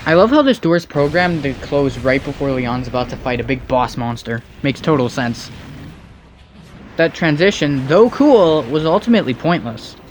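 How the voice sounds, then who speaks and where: Man, fairly high voice, nitpicky and sarcastic video game commentator. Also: animated, moderate pace, a little hiss or hum.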